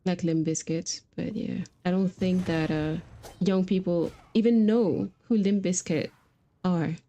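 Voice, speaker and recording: A woman's voice: lowish voice, fairly even intonation, measured pace, dark tone, lo-fi sound. A woman streamer talking casually and informally while she plays a video game, chatty with her viewers. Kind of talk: casual livestream chat while playing.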